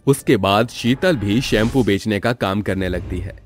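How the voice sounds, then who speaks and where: A man's voice: on the low side, moderate pace, soft background music. A man narrating a scripted moral story as the storyteller.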